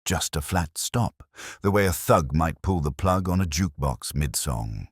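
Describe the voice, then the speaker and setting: Very deep male mystery narrator. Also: expressive, unhurried, husky, no echo, clean studio sound. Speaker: narrator and host of a mystery story channel. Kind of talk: scripted narration of a detective story.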